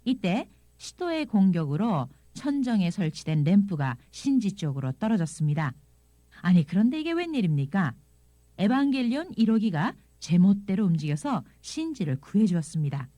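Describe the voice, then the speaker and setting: A woman presenting a scripted audio language lesson, explaining things in an expressive reading style. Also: mid-range voice, moderate pace, no room sound. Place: dry studio.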